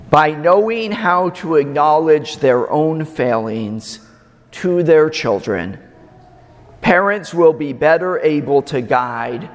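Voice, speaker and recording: A man preaching a sermon in a slow, measured way with emphatic pauses, his voice slightly raspy. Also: a little high. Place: large church. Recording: long church reverb.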